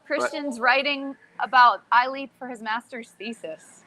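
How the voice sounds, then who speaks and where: A woman's voice: on the high side, unhurried, sharp tone, slight background noise, low-quality recording. A woman with a rural Southern American accent co-hosting a podcast, teasing and giggly. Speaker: podcast co-host. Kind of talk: casual chat at a live event.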